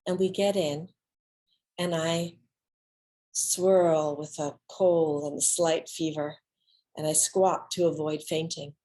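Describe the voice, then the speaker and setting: Slow, theatrical woman storyteller. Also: sits low, fairly even intonation, warm tone. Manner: theatrical, deliberate phrasing with pauses. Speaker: solo stage performer. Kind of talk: dramatic reading of autobiographical monologue.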